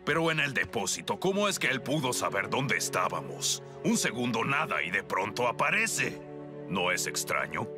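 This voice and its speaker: Worrying cartoon engineer, very animated. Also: male voice, fairly low pitch, normal speed. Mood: uneasy. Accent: Latin American Spanish. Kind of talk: animated series dub acting. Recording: loud music behind the voice.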